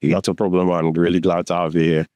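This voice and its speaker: A man's voice: deep voice, little pitch movement, fast, darkish tone, no room sound. A man hosting a podcast, introducing the show and asking friendly, upbeat questions. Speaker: podcast host.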